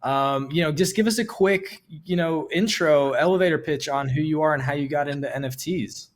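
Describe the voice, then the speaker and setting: A man hosting an interview show, energetic and friendly, with many fillers, on a video call. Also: on the high side, normal speed. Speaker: show host. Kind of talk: casual hosting.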